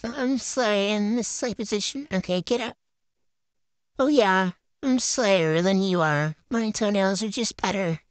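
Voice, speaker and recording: A woman's voice: mid-range voice, a little slow, no room sound, natural voice. Woman doing a bratty ditzy noob gymnast. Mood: bossy. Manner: valley-girl drawl, silly and boastful. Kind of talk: improvised game roleplay voiceover.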